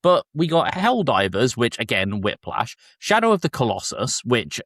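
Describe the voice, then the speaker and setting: A man's voice: medium pitch, big pitch swings, moderate pace, fairly bright tone, no echo, studio quality. A man hosting a podcast in casual review chat, with a sweary, exasperated ranting way of talking. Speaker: podcast host.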